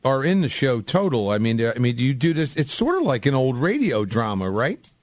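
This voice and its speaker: A man's voice: medium pitch, sing-song, fairly quick, dry recording. A man hosting a radio show, chatting casually in an informal way and often repeating his phrases. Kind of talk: casual radio interview chat.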